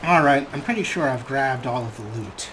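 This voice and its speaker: A man hosts a let's play with casual, chatty commentary. His delivery is wry and understated, at a middling pitch. There is clear noise and game ambience behind his voice. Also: animated, moderate pace.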